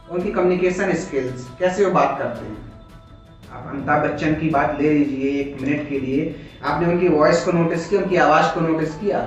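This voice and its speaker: A man with an Indian accent lecturing informally like a classroom teacher, explaining by asking questions and answering them himself. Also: fairly high pitch. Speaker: teacher, coaching instructor. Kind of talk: informal classroom-style lecture, mixing Hindi and English. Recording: echoey room, distant mic, dull sound, background music.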